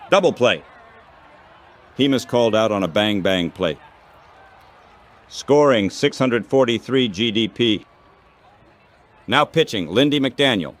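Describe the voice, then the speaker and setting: A synthetic text-to-speech man's voice calling baseball play-by-play in a radio style, in short clipped phrases. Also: fairly low pitch.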